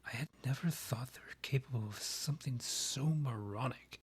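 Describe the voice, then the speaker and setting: A man acts out a proud vampire king in a fantasy audio roleplay. The voice is breathy and husky at a middling pitch. The phrasing is formal and measured, with a courtly, theatrical polish. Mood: indignant, restrained. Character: proud, courtly male vampire monarch, rival and ex. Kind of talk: scripted roleplay audio, ASMR.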